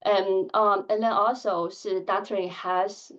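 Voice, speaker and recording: A woman in her thirties who stutters, giving a candid conference talk with blocks and filled pauses. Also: medium pitch, flat delivery, lo-fi sound. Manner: soft-spoken, candid, measured. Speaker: conference speaker. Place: conference hall.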